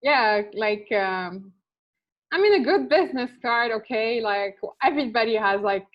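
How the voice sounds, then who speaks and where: A young woman speaks softly and hesitantly as a guest. Her voice is fairly high, and the recording sounds dull and lo-fi. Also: expressive, measured pace. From France. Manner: soft-spoken, hesitant.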